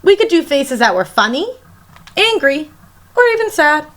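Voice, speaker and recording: Animated, high-voiced woman reading to children. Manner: animated, emphatic, pauses to question young listeners. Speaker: elementary school teacher reading aloud. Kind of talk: expressive children's read-aloud storytelling.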